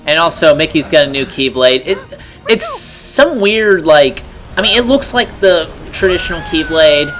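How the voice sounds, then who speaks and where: A man's voice: on the high side, very animated, moderate pace, fairly bright tone, soft background music, poor audio. A man doing casual video game co-commentary, joking, blunt and sardonic. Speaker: let's play commentator.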